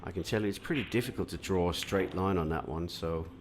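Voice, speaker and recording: A man from Denmark commenting live on a pool match, explaining shots in a relaxed way and answering viewer comments, with frequent fillers. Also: deep voice, quick pace, over music.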